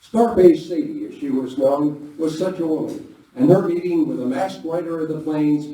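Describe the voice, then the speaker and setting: A man's voice: on the high side, moderate pace, mellow. Very echoey man hosting a live radio play. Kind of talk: stage hosting and dramatic scripted narration. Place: live performance in a village venue. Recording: very echoey room, distant mic, noisy.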